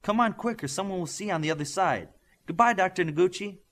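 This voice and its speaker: A man voicing a friendly but bossy teenage superheroine in an audiobook, brisk and casual in manner. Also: sits high, expressive, a bit bright, voice pushed up. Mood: urgent.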